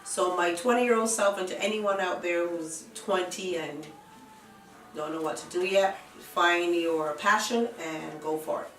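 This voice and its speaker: A woman's voice: lowish voice, a little slow, fairly bright tone. Barbadian woman in a roomy, distant recording. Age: 50s. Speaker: interviewee. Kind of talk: casual documentary interview. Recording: roomy, distant microphone, music behind.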